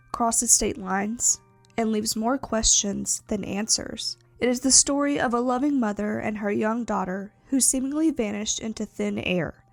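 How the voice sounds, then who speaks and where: A young woman with a cold hosts a true crime podcast, her voice stuffy and congested. The tone is gravelly, with plenty of fry, and the recording is dry and close. She chats casually and uses lots of filler words. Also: a little high, no room sound. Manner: chatty, many fillers. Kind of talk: casual chatty podcast talk. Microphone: sitting to film, close mic.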